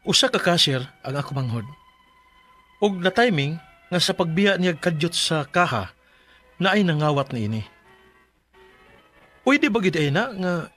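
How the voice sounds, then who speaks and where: Aggrieved older brother, high-pitched, forceful, hot-tempered, Bisaya radio drama, light music bed. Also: male voice.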